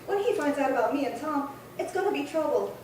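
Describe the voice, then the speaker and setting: High, anxious frontier woman played by an actress. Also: lively intonation, fast, a little mellow. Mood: anxious, pleading. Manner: pleading, urgent, plainspoken. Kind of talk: old-time western radio acting. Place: little theatre stage, distant mic. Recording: very echoey hall, far from mic, faint noise.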